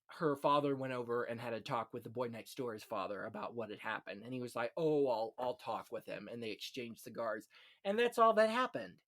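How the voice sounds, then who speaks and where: A man's voice: mid-range voice, expressive, brisk, a bit bright. A man hosting a film podcast, talking casually and chattily with little asides. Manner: chatty, punctuates talk with "you know" asides. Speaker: podcast host. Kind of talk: casual chat about classic film.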